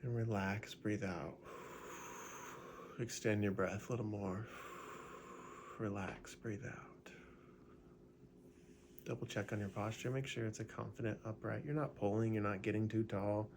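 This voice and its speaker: Guy with a lowish voice talking you through a meditation, laid back and chatty, faint hum behind. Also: fairly quick, few pauses. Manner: relaxed, conversational, with frequent "right?" and filler words. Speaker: meditation guide. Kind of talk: casual guided meditation.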